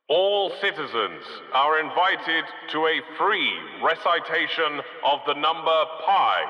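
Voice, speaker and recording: A man voicing a formal, dry city loudspeaker announcer in a game, reading public announcements in a bureaucratic, deadpan manner. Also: mid-range voice, roomy sound, in a large hall, dull audio.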